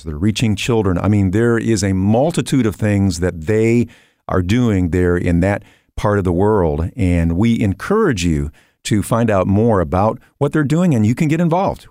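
A male host speaks in an upbeat, promotional way. His voice sits low for a man. The sound is dry, close and studio-clean. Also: moderate pace, a little mellow, no echo. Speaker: broadcast host.

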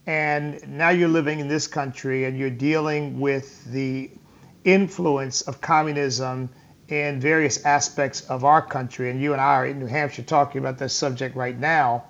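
A man's voice: mid-range voice, fairly even intonation, normal speed, fairly bright tone, muffled recording. A man hosting a political talk show, emphatic and repetitive, addressing listeners as folks. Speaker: radio talk show host. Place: hotel or venue on tour.

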